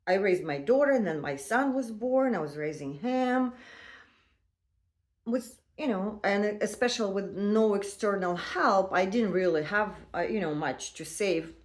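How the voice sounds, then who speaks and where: A woman in her fifties telling her own story in a casual, halting way, with many fillers and non-native phrasing. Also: mid-range voice, expressive. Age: 50s. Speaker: vlogger.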